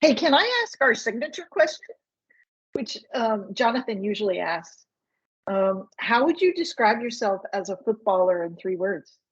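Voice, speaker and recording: A woman's voice: medium pitch, sing-song, moderate pace, husky, highs cut off. A woman podcast host asking questions in a casual chat, eager and rambling like a fan.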